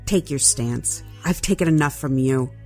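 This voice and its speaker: A woman's voice: a bass voice, normal speed, warm tone. A woman voicing an impulsive, irritable young prince with a petulant, demanding manner in an audio drama. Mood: angry, demanding. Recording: music behind the voice.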